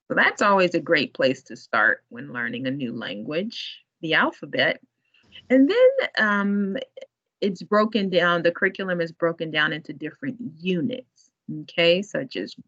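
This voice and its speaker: Slow-talking woman on a video call. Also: lowish voice. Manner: relaxed, chatty, laughs often. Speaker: library staff presenter. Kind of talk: online tutorial demo. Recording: muffled online meeting audio.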